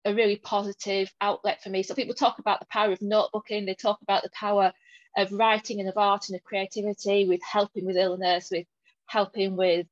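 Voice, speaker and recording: A woman's voice: medium pitch, flat delivery, a little fast, no room sound, dull audio. A woman from Lancashire with a mild Lancashire accent, answering interview questions as a guest author in an articulate, reflective way. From Lancashire, England.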